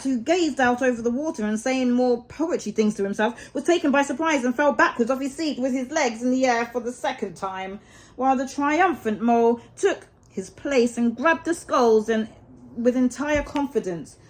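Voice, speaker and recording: A woman's voice: high voice, animated, moderate pace. A woman storyteller reading a children's storybook aloud expressively, dwelling on key words for dramatic emphasis.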